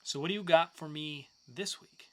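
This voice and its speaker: A man's voice: fairly high pitch, normal speed. A man co-hosting a podcast in casual banter, speaking loosely and swearing freely. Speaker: podcast co-host.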